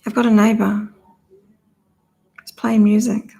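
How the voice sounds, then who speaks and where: Slightly croaky woman chatting on a livestream. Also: medium pitch, flat delivery, unhurried, warm tone. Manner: chatty, reads viewer comments aloud, laughs often. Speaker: psychic reader and channel host. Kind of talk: casual livestream chat with card readings.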